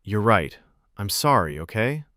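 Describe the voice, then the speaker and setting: A man voicing a casual, apologetic young love interest in a romance story, with a gentle way of talking. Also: on the low side, very animated, unhurried, no echo, clean studio sound, heavily acted.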